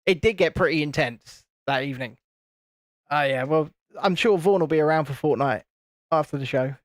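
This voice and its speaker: High-voiced man hosting a livestream. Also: normal speed, no echo, clean studio sound. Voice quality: naturally high-pitched voice. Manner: cheeky, banters and reads out chat. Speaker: host of a building livestream. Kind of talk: casual livestream chat.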